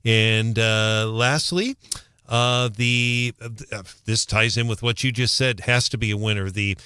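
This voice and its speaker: A man's voice: medium pitch, fairly even intonation, measured pace, bright, forceful tone, clear, resonant tone, no echo, clean studio sound. A middle-aged man from St. Louis, a radio news reporter, chatting casually on a morning show, rambling and restarting his sentences. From St. Louis, Missouri.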